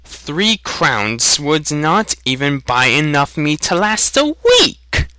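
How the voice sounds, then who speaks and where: Man putting on a shrill, indignant farm boy. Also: huge pitch swings, slow pace, sharp tone, dry recording, exaggerated voice. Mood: protesting. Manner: strained, high-pitched protesting.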